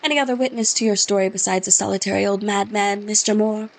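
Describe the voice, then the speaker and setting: A skeptical Scottish lawman who brushes off every claim with curt scorn, as a woman reads him aloud from a game. The voice sits at a middle pitch for a woman, and the accent is rough and put on. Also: fairly even intonation, fast talker, natural voice. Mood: scornful. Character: skeptical dismissive male Scottish police officer. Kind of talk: reading game dialogue aloud.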